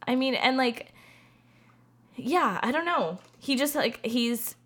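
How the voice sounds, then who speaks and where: A woman's voice: sits high, lively intonation, slow pace, a bit bright. A woman co-hosting a podcast, chatting casually about movies in slangy, profanity-sprinkled speech. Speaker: podcast co-host. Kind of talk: casual movie-review chat.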